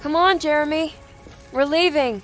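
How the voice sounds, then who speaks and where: A woman's voice: high-pitched, moderate pace, music in the background, close to an everyday voice. An actress playing a determined, caring woman in a horror video game, with an earnest way of talking. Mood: relieved, earnest. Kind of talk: video game cutscene acting.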